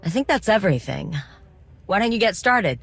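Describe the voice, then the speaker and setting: A woman's voice: mid-range voice, very animated, normal speed, creaky, breathy edge, dry recording, exaggerated voice. A no-nonsense game mechanic lady, wry and coaxing, informal talk, very dry sound, music under it. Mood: wry, explanatory. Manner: informal, plain-spoken, teasing and encouraging. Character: determined, wry Mandalorian droid mechanic, loyal and coaxing. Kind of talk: video game voice acting.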